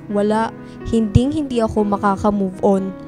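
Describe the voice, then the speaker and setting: A sulky young woman played by a voice actress trading blunt, slangy jabs in a radio drama. She is guarded and teasing at a middle pitch, with faint music behind her. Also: normal speed, close to an everyday voice. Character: sulky, teasing college-age female best friend, jealous and guarded.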